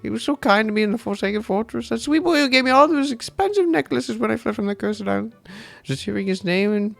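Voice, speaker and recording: A man voicing a dramatic, lovestruck young woman from a game, in a high, breathless, melodramatic voice. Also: animated, quick pace, creaky, a bit bright, no room sound, full character voice. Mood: gushing. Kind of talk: reading game dialogue aloud in character.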